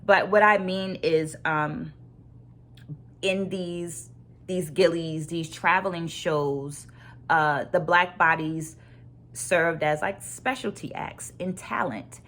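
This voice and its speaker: A woman hosting a book club vlog, sharing her takeaways in a deliberate, emphatic way and repeating phrases to stress them. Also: fairly low pitch, steady tone, a bit bright. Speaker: host of a virtual book club. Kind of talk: book discussion vlog with takeaways and read quotes.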